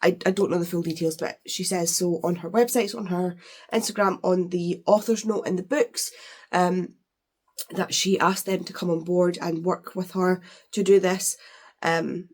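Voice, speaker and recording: A woman with a hoarse, congested voice from a cold, chatting casually as a book vlogger unboxing a delivery. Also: fairly low pitch, steady tone, moderate pace, darkish tone. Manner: chatty, rambling with fillers and restarts. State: congested, losing her voice from a cold.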